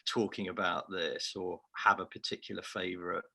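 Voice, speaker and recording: Gravelly Scouse man, low voice. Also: little pitch movement, moderate pace, bright, forceful tone. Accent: Liverpool. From Liverpool, England. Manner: reflective, self-deprecating. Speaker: award-winning researcher, interviewee. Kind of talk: remote interview.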